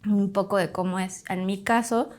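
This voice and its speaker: A woman's voice: mid-range voice, normal speed. Chatty Bolivian-born woman doctor. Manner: chatty, animated, fond of "pues" and "literal". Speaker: doctor and podcast guest. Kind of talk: casual interview storytelling.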